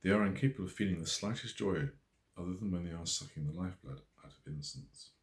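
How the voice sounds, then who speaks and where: A man's voice: low voice, fast, roomy sound. A man voicing an earnest, mischievous woman farmer with a haughty, ranting manner in an audiobook story. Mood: haughty, indignant.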